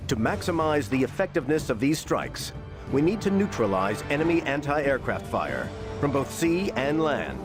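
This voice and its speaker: US military briefer for a war game: grave, resolute, lively pitch movement, music behind. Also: male voice, normal speed. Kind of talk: scripted military briefing narration. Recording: voice over loud music. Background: loud music.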